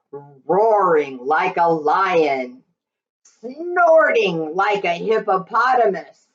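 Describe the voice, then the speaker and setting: A woman reading a picture book aloud to young children in an expressive, sing-song way. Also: lowish voice. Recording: slightly dull, lo-fi recording.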